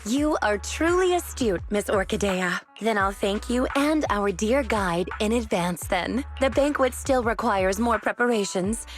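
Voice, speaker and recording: A woman's voice: medium pitch, expressive, measured pace, hardly any pauses, faint music behind, close to an everyday voice. Woman playing a poised, formal housekeeper. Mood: gracious. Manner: formal, composed. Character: polite, dutiful female housekeeper, poised and earnest. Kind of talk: scripted video game voice acting.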